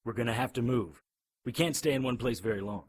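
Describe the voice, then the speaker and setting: A man's voice: medium pitch, fast talker, breathy edge, natural voice. A man playing a blunt, skeptical private investigator in an audio drama, wry and urgent. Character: gruff, skeptical amnesiac private investigator hero.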